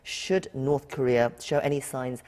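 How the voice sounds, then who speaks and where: A man's voice: mid-range voice, fairly even intonation, measured pace, a little mellow. Male news anchor putting questions. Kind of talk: broadcast news interview questioning. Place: TV news studio.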